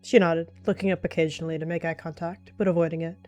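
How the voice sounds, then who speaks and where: A woman's voice: lowish voice, little pitch movement, fast, a little mellow. A woman narrating an animal fantasy roleplay in the third person, as a storyteller. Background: faint music.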